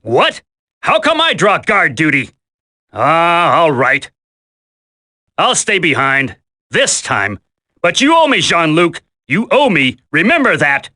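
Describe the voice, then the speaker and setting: Bright, brash cocky commando. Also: male voice, fairly high pitch, huge pitch swings, slow pace, long pauses, exaggerated voice. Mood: grumbling. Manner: brash, colloquial. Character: cocky, grumbling male commando, brash and loyal. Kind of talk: dramatic video game voice acting.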